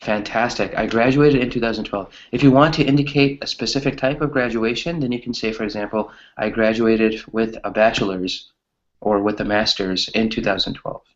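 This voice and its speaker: A man's voice: on the low side, fairly even intonation, moderate pace, lo-fi sound. A man teaching English grammar to a small group, explaining with examples in an encouraging way and often checking in with short tag questions.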